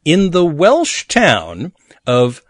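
Man, podcast host, teasing trivia reader, animated pitch, dry sound. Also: very slow, no echo. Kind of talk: playful podcast chat.

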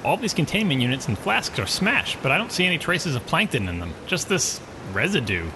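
A man's voice: a little high, very animated, background noise. An actor playing a curious, eager male crewman on an undersea rescue team in an audio drama. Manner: quick, curious, questioning.

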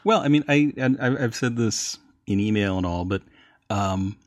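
A man's voice: on the low side, moderate pace, darkish tone, no echo, clean studio sound. A man chatting casually as a playwright guest on a panel, self-deprecating and hesitant to interject. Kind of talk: casual chat.

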